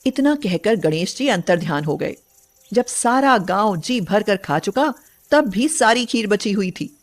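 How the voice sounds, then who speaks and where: A woman narrating a scripted bedtime story as a storyteller. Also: on the high side.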